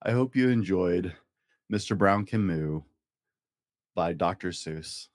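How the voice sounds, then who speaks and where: A man reading a rhyming children's picture book aloud in a playful, expressive way, as a storytime host. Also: deep voice, takes it slowly, no room sound, clean studio sound. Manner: playful, acts out animal and object sound effects.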